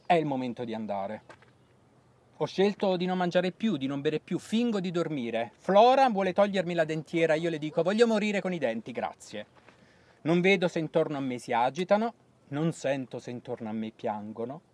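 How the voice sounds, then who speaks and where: A man performing a theatrical monologue as a stubborn, dying old deaf woman, in measured literary storytelling. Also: on the high side, lively intonation, a little mellow, close to an everyday voice. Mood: resigned, quiet.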